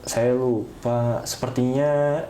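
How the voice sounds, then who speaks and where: A young man with an Indonesian accent chatting as a podcast guest, explaining in a measured way and pausing to find words. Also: medium pitch, steady tone, dark tone. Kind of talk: casual interview chat about studying abroad. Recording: roomy echo, clear background noise.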